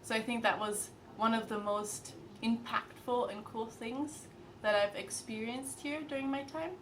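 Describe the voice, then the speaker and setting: A young woman in her twenties, an intern, talking in a relaxed, chatty testimonial full of fillers. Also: a little high, unhurried, noisy background. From Austria and Germany, grew up in China and Thailand. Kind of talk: casual testimonial.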